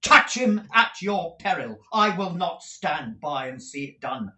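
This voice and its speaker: Brief: a man doing a fiery young hero in a storybook reading, raised and bright, forceful and indignant, in a roomy echo. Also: on the high side, normal speed, voice pushed up, lightly acted. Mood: defiant. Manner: passionate, loud, forceful declaiming.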